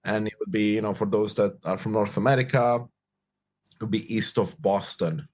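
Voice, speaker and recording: Upbeat Romanian-born man, low voice. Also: steady tone, normal speed, darkish tone. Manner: upbeat, reflective, repeats phrases. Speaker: guest. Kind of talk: casual interview conversation.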